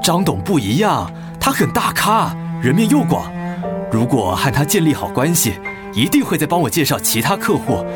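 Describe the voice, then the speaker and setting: A man's voice: fairly high pitch, big pitch swings, normal speed, music in the background. An actor playing a pushy, pragmatic businessman husband with a Taiwanese accent and a glib, persuasive manner in a radio drama. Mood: coaxing. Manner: glib, persuasive, exasperated when crossed. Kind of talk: Mandarin radio drama acting.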